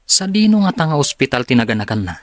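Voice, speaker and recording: A man's voice: mid-range voice, big pitch swings, fast talker, no echo, clean studio sound, lightly acted. A man acting an inquisitive, skeptical young man in an Ilocano audio drama. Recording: background music and crowd sounds.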